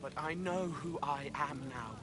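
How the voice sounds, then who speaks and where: A man's voice: on the high side, measured pace, music in the background, lightly acted. An actor playing an eager, strange young male spirit in a video game, speaking with intense wonder. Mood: excited, wondering. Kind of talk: video game character dialogue.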